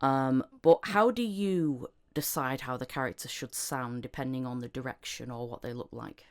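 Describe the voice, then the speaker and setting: A woman hosting a casual interview chat, encouraging and a little halting as she rephrases her questions. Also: rumbling low. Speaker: podcast host, voice actor.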